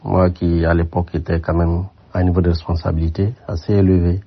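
An older man from Burkina Faso, a former military aide, answering interview questions in a measured, reflective way with frequent hesitations. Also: low-pitched, flat delivery, breathy edge. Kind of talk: radio interview. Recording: muffled lo-fi sound.

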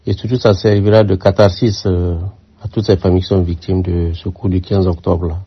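An older man from Burkina Faso, a former military aide, answering interview questions in a measured, reflective way with frequent hesitations. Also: low voice, level tone, slightly rough. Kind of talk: radio interview. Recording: muffled lo-fi sound.

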